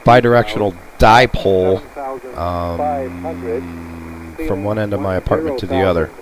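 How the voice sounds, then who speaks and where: A man's voice: sits low, unhurried, a crowd in the background, poor audio. A man chatting casually and muttering playful asides to himself as he tunes around as a radio hobbyist. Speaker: radio hobbyist showing shortwave listening. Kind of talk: casual rambling chat while tuning. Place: apartment room. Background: shortwave radio playing.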